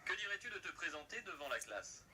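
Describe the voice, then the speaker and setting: Bright, high-pitched cartoon teacher. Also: male voice, big pitch swings, fast, exaggerated voice. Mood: welcoming. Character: male high school teacher greeting a new pupil in a cartoon. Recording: distant voice, faint background noise.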